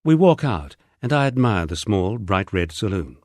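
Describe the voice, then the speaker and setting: A man's voice: fairly low pitch, sing-song, moderate pace, a little mellow, no echo, clean studio sound. A man reading a memoir as a scripted audiobook narration.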